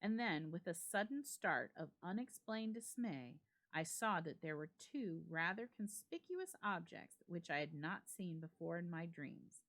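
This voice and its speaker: A woman giving a dramatic reading of a classic ghost story, theatrical and suspenseful, with deliberate emphasis. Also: on the low side, expressive, a bit bright. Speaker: library staff storyteller.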